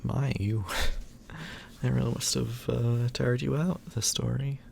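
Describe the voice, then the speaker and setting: A man's voice: medium pitch, fairly even intonation, slow pace, creaky, darkish tone, slight background noise. A man doing affectionate ASMR bedtime storytelling as a boyfriend, in a soothing manner.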